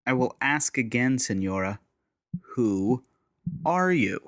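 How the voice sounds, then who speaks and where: An actor playing a wry, wary secret agent in a steampunk audio drama, sardonic and theatrical in delivery. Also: male voice, mid-range voice, lively intonation, slow pace, fairly bright tone, no room sound, studio quality. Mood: wary, challenging.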